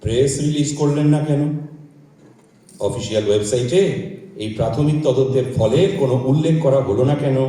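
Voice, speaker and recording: A man's voice: mid-range voice, fairly quick, mellow, lightly acted. Echoey, sardonic man acting as an air force officer. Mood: challenging. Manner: polite but sardonic, insistent, articulate. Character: bold, probing male air force wing commander. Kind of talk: Bengali audio drama acting. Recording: very echoey room, far from microphone.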